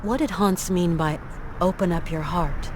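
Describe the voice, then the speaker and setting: A woman's voice: fairly low pitch, a little slow, noisy background, close to an everyday voice. A woman playing a plucky, polite adventurer in a video game, with a wry, conversational delivery. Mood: concerned.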